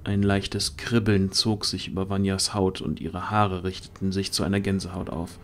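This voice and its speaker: A man's voice: low-pitched, little pitch movement, moderate pace, slight background noise. A man reading dramatic scripted narration for a fantasy audio drama.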